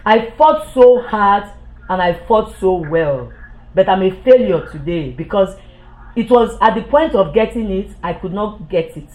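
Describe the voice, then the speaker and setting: A woman's voice: mid-range voice, expressive, measured pace, a little mellow, rough recording. A woman giving an impassioned political monologue, heated and accusatory, repeating herself and stumbling over restarts when agitated.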